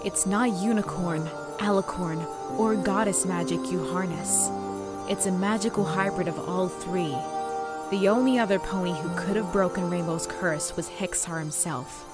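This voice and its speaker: A woman voicing a wise divine pony sister who explains things in a measured way, in a fan audio drama. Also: lowish voice, over loud music, close to an everyday voice. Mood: solemn. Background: musical score.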